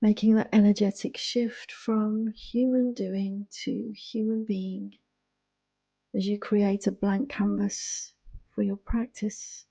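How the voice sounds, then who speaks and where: A woman guiding a yoga nidra relaxation in a soothing, hushed, unhurried manner, close to the microphone. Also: medium pitch, level tone, no room sound. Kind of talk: guided relaxation meditation.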